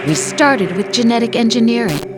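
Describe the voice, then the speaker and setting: A woman's voice: a little high, lively intonation, close to an everyday voice. A woman voicing an artificial intelligence in a video game, composed and condescending, as if explaining things to a child. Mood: cool, explanatory. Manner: slow, patronising, composed. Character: female-voiced artificial intelligence, condescending, coolly patronising. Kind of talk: dramatic video game voice acting. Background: music.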